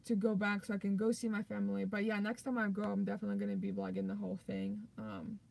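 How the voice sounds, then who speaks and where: A woman's voice: medium pitch, lively intonation, fast, gravelly, a little mellow. A young woman with a Russian accent, whose first language is Russian, chatting loosely on a live stream and answering viewer comments with many fillers. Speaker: vlogger hosting a live stream.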